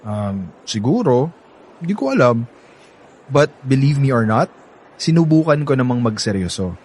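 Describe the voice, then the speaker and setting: Cocky young playboy Percy, mid-pitched. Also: male voice, very animated, a little mellow, breathy edge, poor audio. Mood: nonchalant. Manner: teasing, smug, drawn-out playful delivery. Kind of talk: radio drama acting.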